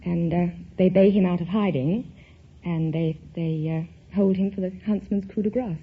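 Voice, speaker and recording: A woman with a fairly low voice, speaking politely and carefully as a quiz contestant. Her genteel, hesitant talk comes through a thin, phone-like old radio recording with faint noise. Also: fairly even intonation, moderate pace, husky. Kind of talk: polite conversational interview.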